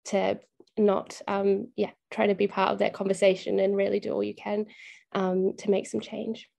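Young female activist, earnest and halting, mid-pitched voice. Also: level tone, creaky, darkish tone. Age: young adult. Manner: passionate, halting with many fillers. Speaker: youth climate activist. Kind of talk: interview answers.

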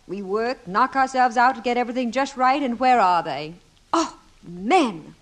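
Actress as a gentle radio wife, fairly high voice. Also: female voice, expressive, normal speed, lightly acted. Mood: wry, fussing. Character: gentle, patient, affectionate wife of a homeowner. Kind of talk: 1950s radio comedy acting. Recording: vintage 1950s radio recording.

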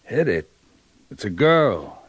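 An actor playing a gruff cavalry captain in a radio western, terse and commanding. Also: male voice, on the low side, big pitch swings, slow pace, dry recording, highs cut off, exaggerated voice. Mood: subdued. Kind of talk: 1950s radio western acting. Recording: noisy vintage radio drama recording.

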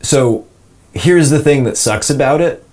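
A man speaking casually and candidly as a podcast host and voice actor, in an animated, rambling, self-deprecating way. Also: medium pitch, unhurried.

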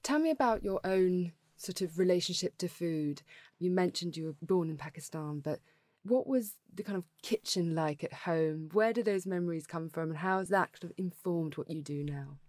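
A woman hosting a podcast, with a very low voice recorded dry and close. She asks her guest inquisitive, open questions. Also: moderate pace, a little mellow, no echo. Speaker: podcast host, interviewer. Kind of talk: interview questions.

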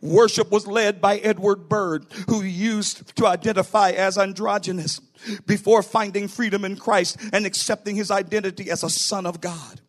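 A man's voice: sits high, steady tone, normal speed. A man preaching a sermon as a pastor, emphatic and declarative, appealing directly to his listeners. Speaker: pastor, bishop preaching to own church.

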